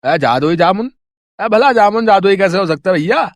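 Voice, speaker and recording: A man's voice: sits high, lively intonation, moderate pace, no room sound, studio quality. A man playing a wealthy village father in a storybook tale, blunt and folksy in manner. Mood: sceptical, bargaining. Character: sceptical, boastful, grateful village father, wealthy customer. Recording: music under the voice.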